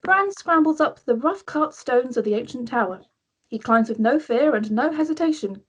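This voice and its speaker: A woman narrates screenplay directions at a table read. Her voice is a little high with a breathy, husky grain, and she reads in a scripted storytelling style. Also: animated, moderate pace, dull audio.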